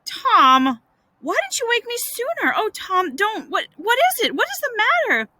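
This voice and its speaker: Woman voicing a frightened, high-pitched little boy. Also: huge pitch swings, takes it slowly, pushed and bright, dry recording, exaggerated voice. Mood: alarmed, worried. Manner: urgent, high, exclaiming. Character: frightened, earnest younger boy, worried brother. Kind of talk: storybook character voice.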